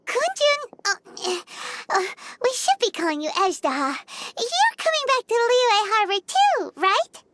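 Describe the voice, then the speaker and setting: An extremely high, squeaky voice of a tiny floating sidekick. It swoops wildly, childlike, eager and anxious, with loud noise behind it. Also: female voice, sing-song, a little slow, hardly any pauses, full character voice. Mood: hesitant, hopeful. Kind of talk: scripted video game voice acting.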